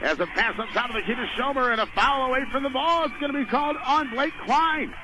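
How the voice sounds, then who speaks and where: A man doing live radio play-by-play of a basketball game in clipped phrases, rising to excited shouts on big plays. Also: extremely high pitch, fast. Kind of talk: live radio sports commentary. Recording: noisy radio broadcast from a gym.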